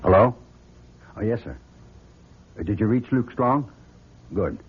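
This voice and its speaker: An actor playing an emphatic, commanding male astronaut commander in a radio drama, with a husky voice. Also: on the low side, expressive, measured pace, exaggerated voice. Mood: serious. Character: male astronaut commander, husband, exasperated but steady. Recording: muffled radio drama recording.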